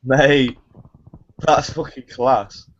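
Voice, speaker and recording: A man's voice: medium pitch, steady tone, very slow, slight background noise. Enthusiastic swearing man, lo-fi. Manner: enthusiastic, says mate and swears a lot. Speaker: band member guest. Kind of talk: casual chat. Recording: dull lo-fi sound.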